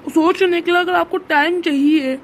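A woman's voice: extremely high pitch, steady tone, normal speed, a little hiss or hum. A woman voicing an interviewer who poses riddles in a children's cartoon quiz. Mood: quizzing, composed. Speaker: quiz host.

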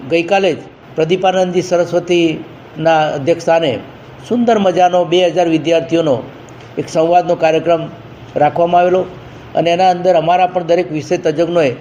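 A man's voice: on the high side, fairly even intonation, moderate pace, mellow. A man speaking as an education official in an informal briefing, emphatic and repetitive in his phrasing. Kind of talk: informal spoken briefing to a news reporter. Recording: faint background noise.

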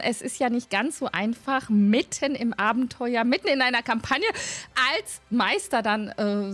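A middle-aged woman hosting a show with a casual, halting update, speaking close to a microphone at home. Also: fairly high pitch. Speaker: host of a tabletop role-playing show. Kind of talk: casual scripted-free update and chat. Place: small room at home, indoors. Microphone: close desk microphone, headset worn. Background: music under the voice.

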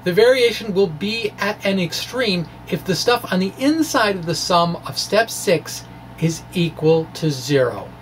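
A man's voice: high-pitched, a little slow, poor audio. A man in his forties presenting a physics lecture in a playful, wry way, moving between scripted reading and informal explaining. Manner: playful, wry dramatic delivery. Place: small indoor room with bookshelves. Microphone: microphone on a stand close in front. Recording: faint steady background noise.

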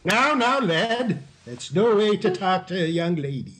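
A man's voice: high voice, big pitch swings, measured pace, a bit bright, exaggerated voice. A man playing a kindly, paternal policeman in an old-time radio sitcom. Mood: soothing, paternal.

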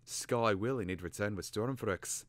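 A man's voice: fairly low pitch, a little slow, no room sound, lightly acted. A man voicing an impatient talking caterbird who tells a tale in a storybook. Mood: storytelling. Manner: impatient, hissing. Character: talkative, testy male caterbird storyteller.

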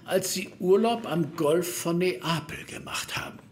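An actor playing a formal, somewhat halting police superintendent in an audio drama. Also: male voice, high-pitched, a little hiss or hum. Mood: serious, official. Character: stiff, formal older male police superintendent briefing subordinates.

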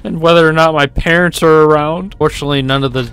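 A man's voice: a little high, steady tone, quick pace, fairly bright tone, clean tone. A man in his twenties with an American accent, giving sarcastic, joking, sweary gameplay commentary into a desk microphone. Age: 20s. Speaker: gaming YouTuber. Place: small home room, indoors. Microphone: desk condenser microphone with headset worn.

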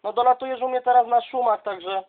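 A man's voice: very high-pitched, fairly even intonation, brisk. A man from southern Poland making casual, chummy contact as a CB radio operator, addressing others as colleagues. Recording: dull, lo-fi sound.

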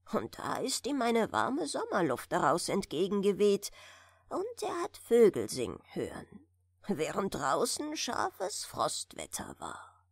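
A woman gently narrating a bedtime fairy tale in a soft, soothing manner. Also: on the high side, vocal fry, dry recording, clean studio sound. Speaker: audiobook reader.

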